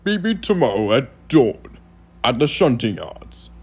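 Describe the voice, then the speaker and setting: Man voicing a raspy, pompous cartoon steam engine. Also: mid-range voice, big pitch swings, a little slow, darkish tone. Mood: smug, scheming. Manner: pompous, theatrical, sneering. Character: boastful, scornful silver steam engine, male, pompous rival. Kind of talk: amateur cartoon voice audition.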